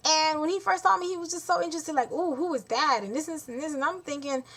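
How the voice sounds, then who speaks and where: A woman's voice: sits high, quick pace, a bit bright. Blunt young woman venting to camera. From Haitian heritage. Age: 20s. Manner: blunt, exasperated, talks with her hands in quotes. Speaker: vlogger. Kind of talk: casual storytelling vlog.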